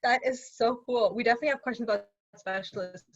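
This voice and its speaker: A woman's voice: a little high, fast, no room sound. Upbeat woman moderator on a lo-fi video call. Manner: upbeat, exclamatory reactions. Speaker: panel moderator. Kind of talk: casual online panel hosting.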